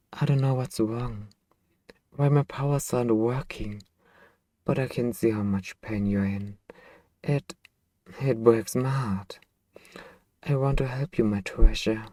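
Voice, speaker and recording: Man acting a dark, velvety, slow vampire master. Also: medium pitch, no echo, natural voice. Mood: worried, tender. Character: possessive male vampire master, hypnotic, doting, anxiously tender. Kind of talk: ASMR roleplay, slow intimate delivery.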